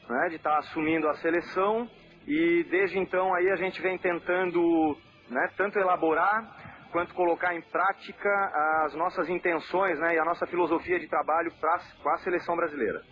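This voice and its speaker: Soft-spoken man coach, muffled audio. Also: on the high side, steady tone. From southern Brazil. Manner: measured, with frequent fillers and restarts. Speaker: national team coach. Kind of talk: relaxed interview answers. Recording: muffled lo-fi audio with faint noise.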